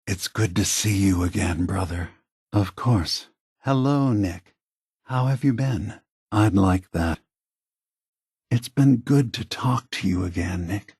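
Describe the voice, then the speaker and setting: A weary android elder statesman from a video game. The voice is low and husky, recorded very dry. He speaks slowly and thoughtfully, with a gentle, deliberate calm. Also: dry recording, heavily acted. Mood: gentle, warm. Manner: measured, deliberate, gentle, reflective. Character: calm, weary, philosophical male android leader.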